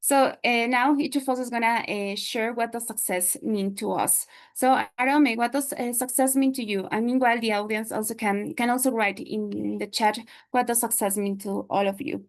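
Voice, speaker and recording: A woman leads the panel questions. Her voice is a little high, and she hesitates often. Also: fairly even intonation, moderate pace, few pauses. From Mexico. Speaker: moderator. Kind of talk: panel moderating.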